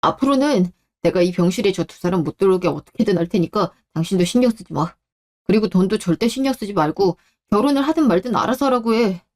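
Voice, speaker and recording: A woman's voice: medium pitch, lively intonation, quick pace, darkish tone, lightly acted. A woman voicing a protective adult husband in a radio drama, with a firm, blunt and earnest delivery. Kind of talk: Korean radio-drama story acting.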